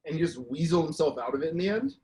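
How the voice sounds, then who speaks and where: Higher-pitched man co-hosting a casual podcast. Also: expressive, very fast, a crowd in the background. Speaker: podcast co-host. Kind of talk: casual chat.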